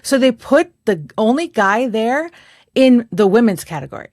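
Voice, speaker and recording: A middle-aged woman co-hosting a podcast as a voice coach, telling stories in a lively way with frequent laughter and filler words. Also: fairly high pitch, normal speed, no echo, studio quality. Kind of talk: casual podcast chat.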